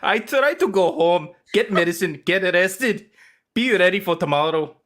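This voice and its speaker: A man playing an ailing juror in halting broken English, with a clumsy put-on accent that drifts. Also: sits high, expressive, a bit bright, a little hiss or hum. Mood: plaintive, halting.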